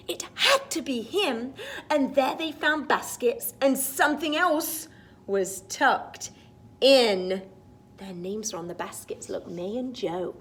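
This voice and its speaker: Animated, unhurried woman reading to children. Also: on the high side. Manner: expressive, sing-song reading with chatty asides to children. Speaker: children's storytime teacher. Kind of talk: animated picture-book read-aloud for young children.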